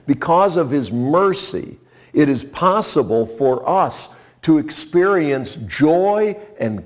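Slow-paced older man preaching, higher voice. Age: 60s. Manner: conversational, folksy, rhetorical questions and pauses. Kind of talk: sermon with scripture reading and personal anecdotes.

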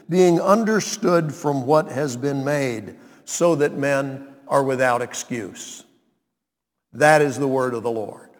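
A man, preaching a sermon and reading scripture, deliberate and emphatic. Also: on the high side. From North Carolina, US. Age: 90s. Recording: long reverb of a large hall.

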